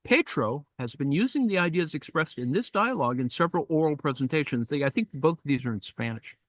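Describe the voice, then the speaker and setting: A man reading a text aloud in a live-streamed discussion and commenting on it, thoughtful and halting, with many fillers and asides. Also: on the high side, lively intonation, no echo.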